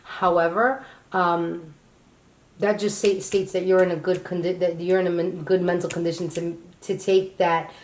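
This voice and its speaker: A woman co-hosting a casual chat, halting as she speaks, often restarting her sentences while she searches for words. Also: on the low side, flat delivery, reverberant, rough recording. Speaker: co-host.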